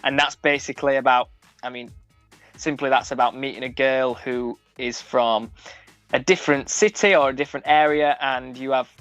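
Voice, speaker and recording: A man's voice: mid-range voice, fairly even intonation, normal speed, fairly bright tone, clear, resonant tone, no room sound. A young man from Sheffield with a broad Sheffield accent, a musician speaking frankly in a casual radio interview, often restarting his sentences. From Sheffield, England. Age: young adult. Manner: frank, many restarts and fillers. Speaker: musician guest. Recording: dull, dry sound with music under it.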